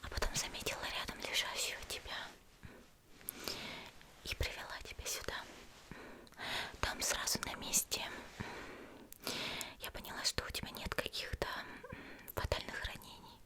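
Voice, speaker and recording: A woman acting as a young female demon slayer healer speaks in a soft, airy whisper with a very bright, crisp edge. She is gentle and composed, with a soothing, politely teasing manner. It is a close-miked anime ASMR roleplay, unhurried and intimate. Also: natural voice. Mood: calm, reassuring. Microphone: close ASMR microphone.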